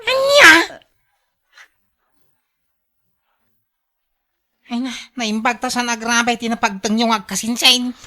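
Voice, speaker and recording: A woman's voice: on the high side, sing-song, normal speed, sharp tone. A woman with an Ilocano accent playing an angry, suspicious husband in a radio drama, scolding and exclaiming. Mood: shocked, agitated. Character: angry, suspicious husband robbed of his buffalo.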